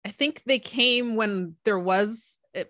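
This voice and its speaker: A woman's voice: mid-range voice, slow, fairly bright tone, no room sound, studio quality. A woman from California, a spiritual coach guest in an interview, speaking thoughtfully with frequent ums. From United States, California. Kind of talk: conversational interview.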